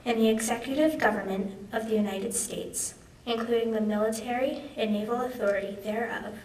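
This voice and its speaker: A young woman reads a formal document in a fairly high voice. The recording is distant and echoey, with faint background noise. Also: fairly even intonation, a little fast, a little mellow. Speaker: student reader. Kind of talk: formal reading aloud of a document.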